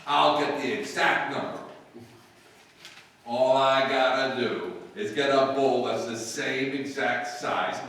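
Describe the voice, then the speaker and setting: A man's voice: medium pitch, steady tone, takes it slowly, a bit bright. Man acting blustering sitcom dad in an echoey hall. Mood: boastful. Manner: emphatic, exclaiming, mangles words and grammar. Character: blustering, scheming, dim-witted working-class husband and father. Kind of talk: old-time radio sitcom acting. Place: live stage performance. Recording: echoey live hall, far from mic.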